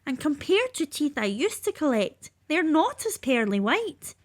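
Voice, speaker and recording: A woman's voice: high-pitched, big pitch swings, a little slow, pitched above the performer's own voice. A woman voicing a fussy, exasperated tooth fairy in a read-aloud storybook. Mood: worried. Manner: exasperated, indignant. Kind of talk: storybook character voice.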